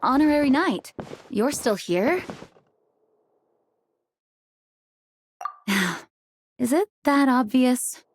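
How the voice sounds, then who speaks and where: A woman's voice: high voice, animated, slow, a little hiss or hum. A woman playing a dutiful, composed young knight leader in a video game, speaking formally and earnestly. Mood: weary concern.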